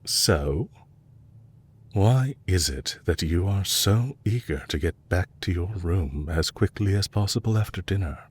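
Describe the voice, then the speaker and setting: A man playing a composed, courteous villain leader in an audio roleplay, speaking in a measured, formal way to a captive he is fond of. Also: low-pitched, animated, husky, full character voice. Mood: probing, courteous.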